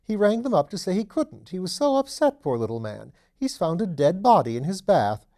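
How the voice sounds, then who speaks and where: Man playing an elderly duchess, motherly, gossipy, genteel, slightly lifted pitch, mellow, storybook dialogue. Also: very animated, fairly quick. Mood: chatty, concerned. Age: older. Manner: gossipy, genteel, fussing kindly. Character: genteel dowager duchess, older mother, chatty. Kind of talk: audiobook character dialogue.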